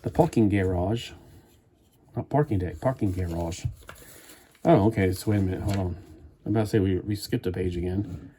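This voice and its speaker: A man with a deep, warm, relaxed voice talks his way through a build at home. He wanders from one thought to the next, often restarting mid-sentence. Faint background noise sits behind him. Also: moderate pace, pauses often. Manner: meandering, chatty, thinks aloud with frequent restarts. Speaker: streamer building a toy kit live. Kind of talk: rambling live-stream chat while building.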